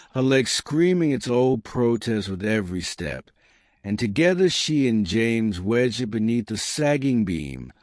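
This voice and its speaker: A man narrating a historical romance as an audiobook, in a measured, literary and dramatic manner. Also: lowish voice, no echo, clean studio sound.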